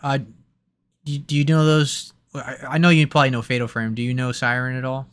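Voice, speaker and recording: A man's voice: mid-range voice, level tone, brisk, clean tone. A man co-hosting a gaming podcast, chatting casually and enthusiastically with stumbling restarts. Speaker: podcast co-host. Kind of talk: casual chat.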